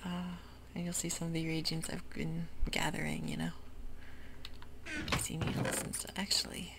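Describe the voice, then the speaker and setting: A host whispering a slow, meandering tour of a game world, close to the microphone, with frequent pauses and fillers. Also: mid-range voice, little pitch movement, vocal fry. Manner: soft mostly unvoiced whisper; hesitant, meandering, with many fillers. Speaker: gameplay ASMR host. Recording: audible hiss with keyboard clicks.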